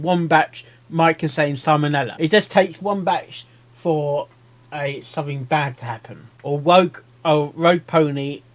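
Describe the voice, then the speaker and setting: A man giving casual reaction commentary, rambling and often repeating himself as he thinks aloud. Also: a little high. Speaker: reaction video host.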